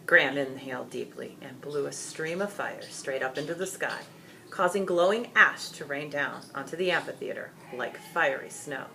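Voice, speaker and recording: A woman reading a children's story aloud in dramatic, expressive, deliberate narration. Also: low voice, vocal fry, a little hiss or hum.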